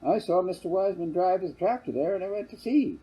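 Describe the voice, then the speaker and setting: An earnest young farm boy from an audiobook, voiced by an older man. He answers matter-of-factly, pitched up yet husky. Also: expressive, a little fast, mellow, low-quality recording.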